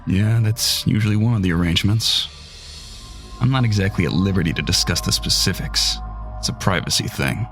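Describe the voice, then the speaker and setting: Man playing a deep-voiced, weary gunman. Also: a little fast, a bit bright, faint music behind. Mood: matter-of-fact. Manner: blunt, world-weary, sighing. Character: weary, guarded mortal gunman serving a vampire master. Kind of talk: audio drama acting.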